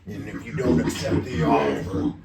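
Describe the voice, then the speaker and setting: Huge menacing demon played by a man, pitched far down with filter and echo, tabletop game villain. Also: normal speed, loud music behind the voice.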